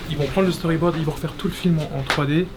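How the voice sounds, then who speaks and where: A man casually explaining an animation studio on a walking tour, hesitant and full of restarts. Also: a little high. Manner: halting, many restarts and fillers. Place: animation studio offices, indoors. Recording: loud background noise.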